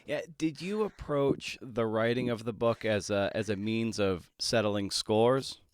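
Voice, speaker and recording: A man's voice: lowish voice, unhurried, smooth, no room sound. Man, podcast host, conversational, tentative roundabout questions, dry room sound. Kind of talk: conversational interviewing.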